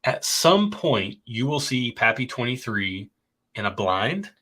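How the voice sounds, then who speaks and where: A stuffed-up, congested man co-hosting a relaxed livestream about whiskey. His voice is bright and forceful, and he keeps the talk chatty and light with easy jokes. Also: medium pitch, slow pace, breathy edge. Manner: chatty and upbeat, joking. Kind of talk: casual livestream chat.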